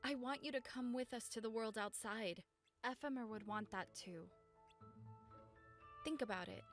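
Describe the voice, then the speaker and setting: A woman playing a gentle young girl, a caring friend who pleads softly, in a game fandub. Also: a little high, moderate pace, soft background music, lightly acted. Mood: gentle pleading. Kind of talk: animated game fandub acting.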